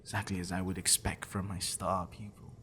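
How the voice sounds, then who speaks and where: A man playing a possessive, sadistic professor in an ASMR roleplay, condescending and teasing, slipping into intimate whispers, with a low gravelly voice. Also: flat delivery, bright, forceful tone, a little hiss or hum. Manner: condescending, teasing, deliberate, dropping into breathy whispers. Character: possessive sadistic male professor, obsessive, teasing, smugly intellectual.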